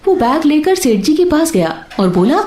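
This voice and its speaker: A woman narrating a scripted story as a storyteller, in an expressive manner. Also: high voice, normal speed, roomy sound. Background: soft instrumental music with sitar.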